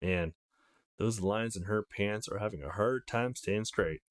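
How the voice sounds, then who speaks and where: A man's voice: on the low side, unhurried, natural voice. A man voicing an awkward young male protagonist of a visual novel in a casual, hesitant way. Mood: admiring.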